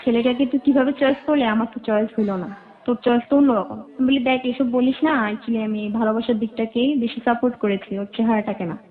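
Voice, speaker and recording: A Bangladeshi woman recounts her own life as a guest, in a voice on the high side. She narrates in detail and quotes remembered conversations. The recording is thin and muffled, like a telephone. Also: steady tone, fast talker. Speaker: interview guest. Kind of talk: personal life storytelling. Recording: muffled, narrow phone-like band.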